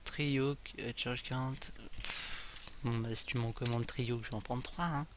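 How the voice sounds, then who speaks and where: A man's voice: mid-range voice, fairly even intonation, measured pace, muffled recording, background noise. A young man casually commenting on a game as he plays, joking and reading the English on-screen text aloud. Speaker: gaming video creator. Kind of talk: casual let's play commentary.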